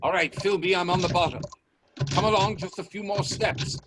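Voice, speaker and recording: A man playing a bold, inquisitive gentleman explorer in a radio play, speaking in deliberate, theatrical statements. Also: high voice. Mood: determined. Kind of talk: radio play dialogue acting. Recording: faint noise on video-call audio.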